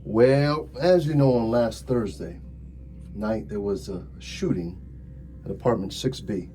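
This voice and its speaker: Slow, mellow police detective played by a man. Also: on the low side, close to an everyday voice. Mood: measured, dry. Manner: deliberate, hesitant, drawn-out phrasing with wry asides. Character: calm, methodical, sardonic male police detective questioning a suspect. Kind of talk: slow ASMR roleplay acting. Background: music.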